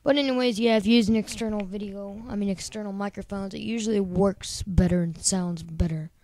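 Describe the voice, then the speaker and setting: A man with a high-pitched, squeaky voice, casually talking through a software tutorial in a rambling, chatty way full of filler words. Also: fairly even intonation, a little fast, no echo. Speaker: tutorial host. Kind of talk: casual screen-recording tutorial.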